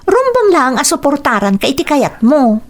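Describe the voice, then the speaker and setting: A woman's voice: high voice, sing-song, normal speed. A woman acting a kind, encouraging teenage schoolgirl in an Ilocano radio drama, with an Ilocano accent.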